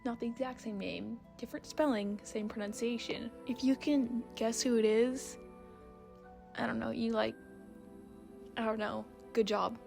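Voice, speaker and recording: Young woman rambling, slightly high creaky voice. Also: fairly even intonation, measured pace, darkish tone. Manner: rambling, self-deprecating. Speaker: video creator and artist. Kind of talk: casual chatty voice-over commentary. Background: background music.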